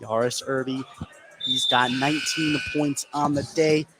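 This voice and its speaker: A man calling live basketball play-by-play for radio, an excitable announcer whose voice climbs toward shouting on big plays. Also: mid-range voice, measured pace, music in the background. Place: courtside in a school gym. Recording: clear gym noise behind the voice. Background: gymnasium ambience.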